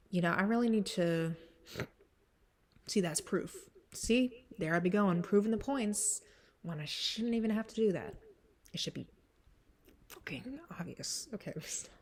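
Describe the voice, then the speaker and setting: A young woman with a stuffy, congested voice, chatting casually and rambling through an introduction before singing a cover song. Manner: rambling, many fillers, swings up high when emphatic. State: stuffy nose from sinus trouble.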